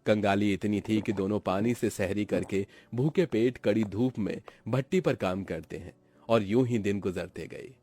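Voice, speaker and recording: A man's voice: lowish voice, normal speed, dark tone. A man narrating a cartoon family story in a dramatic scripted storytelling style.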